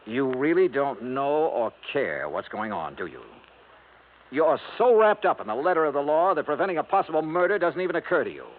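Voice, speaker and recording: A man's voice: on the high side, sing-song, brisk, a little hiss or hum. A man with an American accent playing a dogged, wry insurance investigator in a radio noir drama, with clipped, dry delivery. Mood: pressing, blunt. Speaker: lead detective and narrator. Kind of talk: 1950s radio noir acting. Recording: tinny old radio broadcast, music behind.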